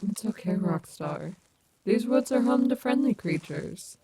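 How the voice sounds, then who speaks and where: A woman's voice: on the high side, expressive, a little slow, noisy background. A woman playing a cocky but caring animatronic wolf rock star in an ASMR audio roleplay, teasing and affectionate. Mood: alert, reassuring.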